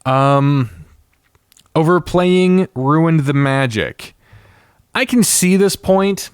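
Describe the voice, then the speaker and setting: A man's voice: fairly high pitch, lively intonation, very slow, clean tone, dry recording, studio quality. A man hosting a solo podcast about games, casually thinking aloud in a rambling, sweary commentary. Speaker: podcast host.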